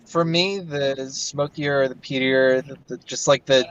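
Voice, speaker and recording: A man's voice: medium pitch, fairly even intonation, unhurried, fairly bright tone, slight background noise. A man chatting casually in a wry, playful way from inside a car. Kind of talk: casual chat. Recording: dull, dry call audio.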